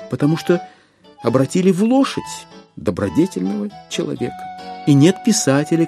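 A man narrating a literary audio drama in an expressive, theatrical way with deliberate dramatic phrasing. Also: mid-range voice, gravelly.

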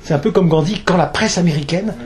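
A man's voice: high-pitched, quick pace, a little mellow. A man, from Alsace, speaking as an author at a public talk, hesitant, with many fillers and restarts. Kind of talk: lecture with audience questions.

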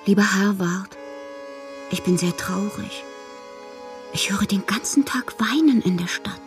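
A woman's voice: mid-range voice, unhurried, soft background music, lightly acted. An actress playing a whimsical, lovelorn, melancholy woman poet performing her letters in a radio drama, theatrical and given to whispering. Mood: sad. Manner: theatrical, swinging between breathy whispers and exclamations.

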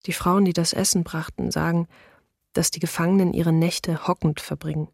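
Flat, creaky, grave woman diarist. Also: on the low side, moderate pace, no room sound, studio quality. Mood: grave, restrained. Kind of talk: documentary radio play, scripted testimony.

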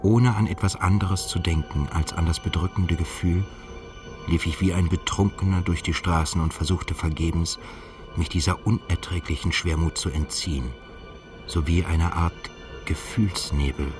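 Brooding male narrator played by an actor for literary radio drama, low register, measured and melancholy, music underneath. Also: steady tone, natural voice. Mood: somber, heavy. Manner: measured, introspective, scripted. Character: brooding, melancholy male journalist telling his story. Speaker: narrator and protagonist.

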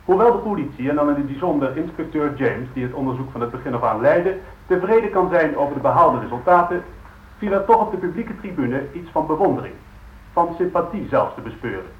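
An actor playing a serious, measured male news commentator giving a scripted report in a radio drama. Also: on the high side, lively intonation, lightly acted. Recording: dull, echoey radio sound.

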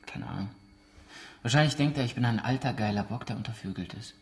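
An actor playing a bitter, volatile middle-aged war veteran who answers curtly and defiantly in a radio drama. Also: male voice, medium pitch, fairly quick, close to an everyday voice. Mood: curt, defensive. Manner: curt, defiant replies. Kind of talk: German radio drama acting.

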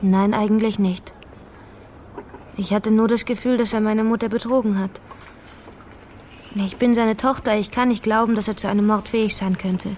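A woman's voice: medium pitch, fairly even intonation, moderate pace, long pauses, darkish tone, natural voice. Guarded, resolute woman, played by an actress, rain-like noise behind. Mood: earnest, guarded. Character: guarded, resolute daughter of a politician, seeking family truth. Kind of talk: German radio crime drama acting.

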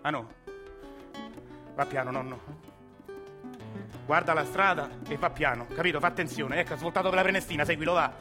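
Guy doing a worked up roman dad barking orders, highish voice, music behind. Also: fairly quick, pauses often, natural voice. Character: excitable middle-aged Roman father, scheming and hot-tempered.

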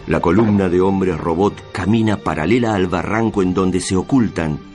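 A man narrating a radio drama in a solemn, measured, literary manner. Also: deep voice. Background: music.

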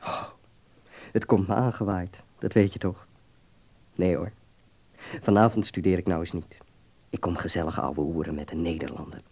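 An actor playing a worldly, affectionate young medical student with a fervent, teasing, philosophizing manner in a Dutch radio play. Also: male voice, sits low, steady tone, normal speed, long pauses, darkish tone, breathy edge. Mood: teasing, breezy. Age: young adult.

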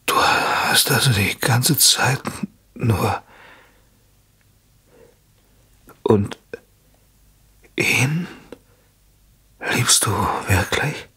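Radio drama husband, drained and trusting; slow, halting male delivery, rough and forceful tone. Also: medium pitch, in a whisper, full character voice. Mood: dazed, dismayed. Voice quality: very husky, raspy. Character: exhausted, trusting, drugged husband, weary and bewildered. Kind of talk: German radio play acting.

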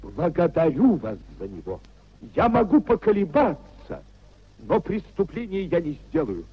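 A man's voice: on the high side, huge pitch swings, a little slow, husky, heavily acted. An actor playing a proud, idealistic young man who declaims fervently, in a 1950s Soviet radio play. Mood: proud, wounded. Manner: declamatory, impassioned oratory. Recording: muffled 1950s radio theatre recording.

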